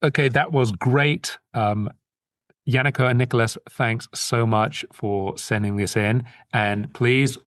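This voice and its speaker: A man's voice: medium pitch, no echo. Man hosting a podcast, dry close sound. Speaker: podcast co-host. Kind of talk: casual podcast interviewing.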